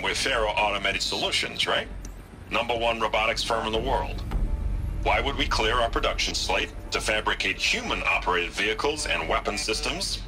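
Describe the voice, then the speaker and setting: A man's voice: fairly low pitch, natural voice. A man voicing an insistent, commanding male tech company boss, in a game. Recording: game audio with music.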